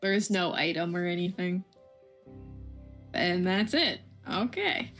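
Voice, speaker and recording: A woman's voice: fairly low pitch, animated, slow pace, a bit bright, soft background music. Woman casually chatting over a video game. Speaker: game streamer.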